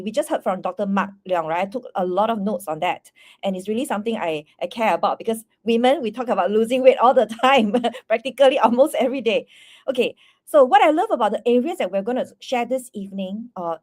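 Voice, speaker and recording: Bubbly woman hosting an online panel. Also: fairly high pitch. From Singapore. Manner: bubbly, laughs between words. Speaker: panel host.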